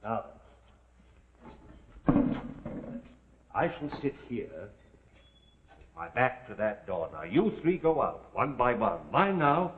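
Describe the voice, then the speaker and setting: An actor playing a cunning, commanding gentleman leader of thieves, unhurried and coolly scornful, in 1940s suspense style. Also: mid-range voice, sing-song. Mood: quiet command. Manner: unhurried, superior, coolly scornful of his men. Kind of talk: 1940s live television suspense acting. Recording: muffled, narrow-band 1940s TV broadcast.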